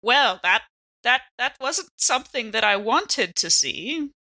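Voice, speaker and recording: A woman's voice: a little high, expressive, unhurried, sharp tone. A woman playing a tabletop game, trading casual, playful banter.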